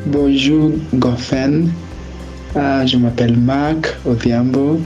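A man from Kenya leaving a careful, slow-paced listener message. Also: medium pitch, fairly even intonation, a little mellow, lo-fi sound, soft background music.

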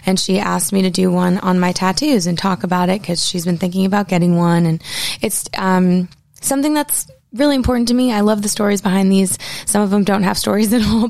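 A young woman in her twenties with a low, raspy voice, hosting her own podcast in a chatty, casual way full of filler words. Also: darkish tone, dry recording, clean studio sound. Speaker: podcast host. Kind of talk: casual solo podcast chat. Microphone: headphones on, close studio mic.

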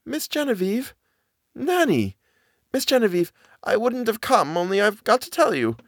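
A man's voice: high-pitched, sing-song, moderate pace, no room sound, clean studio sound, pitched above the performer's own voice. A man voicing a frightened middle-aged housekeeper who stammers in plain servant speech. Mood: fearful.

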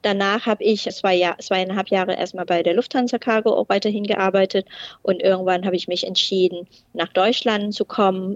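A woman's voice: mid-range voice, no room sound. Middle-aged woman, interview guest; hesitant and full of fillers, dry close sound, slightly muffled. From Thailand. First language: Thai. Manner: hesitant, many fillers and restarts. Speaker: guest, port process manager. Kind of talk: interview answers.

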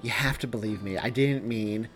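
A man's voice: fairly low pitch, normal speed, fairly bright tone, faint music behind, natural voice. A man voicing an exasperated, defensive young man with a sarcastic edge in dramatized audiobook dialogue. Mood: pleading.

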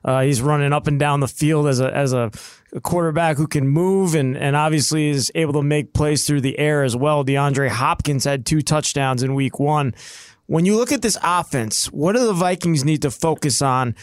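A man with a slightly high voice co-hosts a sports show, recorded close and dry. He lays out the background before putting questions to his guest. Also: moderate pace, no room sound. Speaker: sports show co-host, interviewer.

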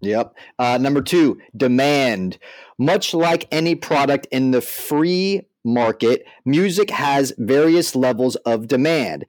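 A man's voice: mid-range voice, animated, slow, darkish tone, no room sound. A man co-hosting a podcast, moving between reading aloud and casual commentary. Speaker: podcast co-host.

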